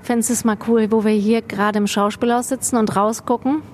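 A woman's voice: fairly high pitch, level tone, a little fast, a little mellow, a little hiss or hum. A woman interviewing a guest in a friendly, familiar and chatty way, in a theatre. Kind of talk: casual interview questions. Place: theatre building, indoors.

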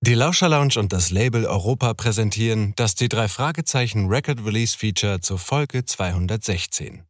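A male announcer introduces a programme from a script. His voice sits fairly low. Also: expressive, moderate pace, no echo.

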